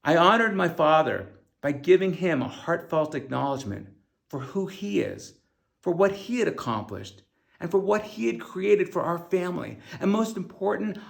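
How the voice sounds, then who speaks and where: A man with a fairly high voice and a noticeable creak narrates a personal memoir. He reads his own written words earnestly, phrasing them deliberately and leaning on key words. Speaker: author reading own audiobook.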